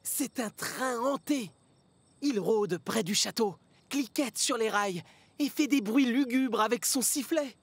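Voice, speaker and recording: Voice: medium pitch, slow pace, slightly rough, dry recording. An actor voicing a naive, earnest, hesitant little male train engine in a children's cartoon. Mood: eager. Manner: hesitant, wide-eyed. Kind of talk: children's cartoon dub acting.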